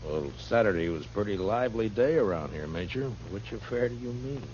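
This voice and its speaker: An American actor playing a firm, laconic frontier marshal in a 1950s radio western. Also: male voice, lowish voice, expressive, brisk, a little mellow, lightly acted. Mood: easygoing. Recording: muffled, noisy old radio recording with hum.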